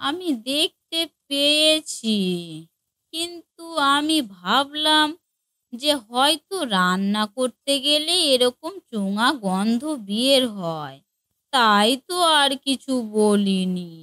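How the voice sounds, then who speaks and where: A woman's voice: high-pitched, lively intonation, takes it slowly, sharp tone, no room sound, heavily acted. A woman voicing a naive, dim-witted young daughter-in-law in a comedy cartoon, talking in an innocent, hesitant, literal way. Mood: defensive. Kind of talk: Bengali comedy cartoon dialogue.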